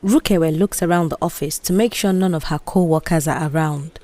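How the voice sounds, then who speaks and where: A woman's voice: low voice, normal speed, mellow. A woman with a Nigerian accent reading scripted story narration for an audio drama.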